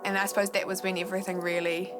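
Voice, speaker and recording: A woman's voice: mid-range voice, flat delivery, racing. A young woman in her twenties with a New Zealand accent, telling her own story in a candid, conversational, reflective way. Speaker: documentary subject, poet and musician. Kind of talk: reflective personal interview narration. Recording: loud music under the voice. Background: loud music bed.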